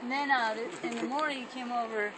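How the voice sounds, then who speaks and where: A high-voiced woman rambles through a drunken story, slurring and drifting into bits of song. It is a noisy phone recording made outdoors, with a steady hiss and ambient sound behind her. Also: fairly quick. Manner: rambling, loose and slurred, trailing into half-sung lines. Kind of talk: drunk storytelling among friends. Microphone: phone camera held by a companion.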